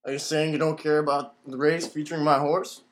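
A man's voice: on the high side, level tone, fairly quick, close to an everyday voice. A man playing a cocky, boastful jockey in a radio play. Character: cocky, boastful male jockey itching for glory. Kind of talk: old-time radio play acting.

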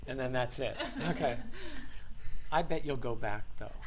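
A man's voice: mid-range voice, measured pace, over a noisy crowd. A man hosting an English discussion podcast, chatty and joking, with lots of fillers. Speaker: podcast host and English teacher. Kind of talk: casual group discussion with learners.

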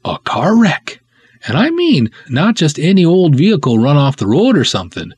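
An excitable male cop, acted out by a man from a pulpy serial story. He chatters in folksy slang with a pitch that leaps about, a little husky and recorded dry. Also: normal speed, dry recording, heavily acted. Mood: excited, suspenseful. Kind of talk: dramatic serial reading.

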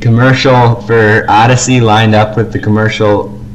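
A man's voice: lowish voice, flat delivery, moderate pace. A man co-hosting a casual fan podcast, talking about things he likes with effusive enthusiasm. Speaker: podcast co-host. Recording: noisy amateur podcast recording.

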